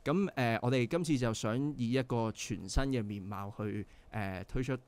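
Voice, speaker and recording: Earnest young Hong Kong band member. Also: male voice, mid-range voice, moderate pace, darkish tone. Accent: Hong Kong Cantonese. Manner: earnest, explanatory, many filler sounds. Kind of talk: casual radio interview. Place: radio studio.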